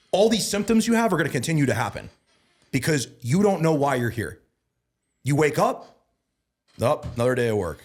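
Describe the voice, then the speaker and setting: A man in his thirties talking as a guest on a casual podcast. He sounds earnest, repeats himself and restarts a lot, and grows emphatic and louder when he starts preaching. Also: on the high side, breathy edge. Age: 30s. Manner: repeats words and restarts often. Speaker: guest, bodybuilding and mindset coach.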